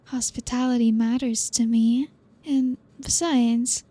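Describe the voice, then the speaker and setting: A woman voicing an elegant, teasing female vampire who welcomes a guest, in a soft ASMR roleplay delivery with a possessive edge. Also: a little high, flat delivery, slow, slightly rough. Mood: teasing, hospitable.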